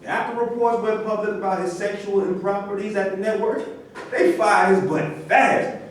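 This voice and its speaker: A man's voice: sits high, normal speed, close to an everyday voice. A man acting a wary, cynical famous athlete with a grumbling, opinionated manner in a staged play reading. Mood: bitter, wary. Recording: very echoey room, distant mic, faint noise.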